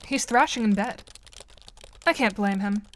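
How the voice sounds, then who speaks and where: A woman's voice: medium pitch, a little slow, noisy background, close to an everyday voice. A woman playing a composed teenage girl with a dry, formal, sardonic manner in an audio drama.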